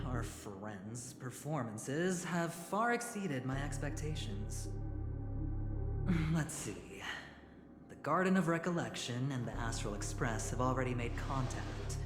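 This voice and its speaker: Actor as a slow-talking sly young gambler, echoey. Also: male voice, mid-range voice, natural voice. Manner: unhurried, smug, lightly mocking. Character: smooth calculating young male gambler, sly and teasing. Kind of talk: video game voice acting. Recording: echoey hall reverb, music behind.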